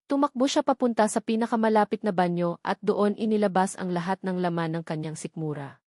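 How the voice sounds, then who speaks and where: A woman's voice: medium pitch, fairly quick, a little mellow, no echo, clean studio sound. A woman reading a scripted, dramatic audiobook story as its narrator.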